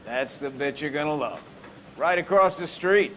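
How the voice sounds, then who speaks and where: A man's voice: fairly high pitch, expressive, moderate pace, fairly bright tone, a little hiss or hum. A man voicing a brusque, sardonic 1940s police captain handing out a case.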